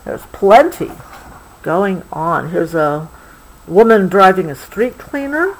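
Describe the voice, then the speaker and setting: An American woman reading a picture book aloud to a child, expressively, pausing patiently to explain things in a casual way. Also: fairly low pitch, sing-song, low-quality recording.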